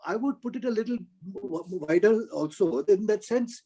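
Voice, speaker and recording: Discussion moderator, mellow tone, interjecting, passes turns to others. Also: mid-range voice, lively intonation, normal speed, slightly rough, rough recording. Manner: interjects, then speaks at length.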